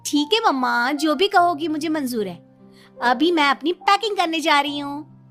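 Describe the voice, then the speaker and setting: A woman's voice: high voice, expressive, normal speed, over music, pitched above the performer's own voice. A woman voicing a whiny, defiant, lazy young woman in a dramatized moral story. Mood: cheeky. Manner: whining, defiant.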